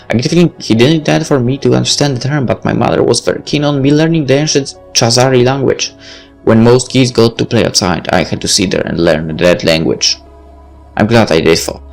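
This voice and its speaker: A man's voice: fairly low pitch, vocal fry. A man voicing a self-important, bossy, geeky young heroine who talks pompously in a story.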